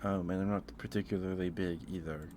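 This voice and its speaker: A man doing a casual, rambling ASMR livestream, speaking in a hesitant, musing way and repeating himself over clear background noise. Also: low-pitched, steady tone, a little fast. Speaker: live streamer.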